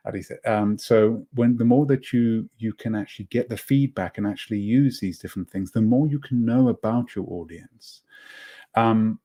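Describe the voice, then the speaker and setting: A man's voice: fairly low pitch, mellow. A man with a slight South African accent, presenting a live online course, encouraging and praising each participant's comment while he rambles and restarts his sentences. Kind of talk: live webinar teaching.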